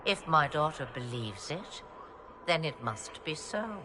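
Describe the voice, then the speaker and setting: A woman's voice: deep voice, very animated, a little slow, noisy background. An actress playing an ancient, imperious witch-mother in a video game, speaking in cryptic, theatrical, archaic phrases with a menacing edge. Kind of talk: dramatic video game voice acting. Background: background music.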